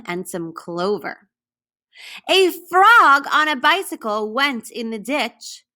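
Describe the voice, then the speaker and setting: Female teacher for children's read-aloud. Crisp, bright timbre, unhurried delivery, addresses young listeners directly. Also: on the high side. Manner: deliberate, pausing to question young listeners. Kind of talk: read-aloud storybook narration.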